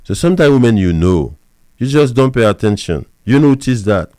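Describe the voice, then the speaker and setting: Male pastor, emphatic and repetitive; mid-pitched, resonant, dry mic. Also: animated, moderate pace, mellow, dry recording. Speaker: pastor and marriage counselor. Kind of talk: preachy advice monologue.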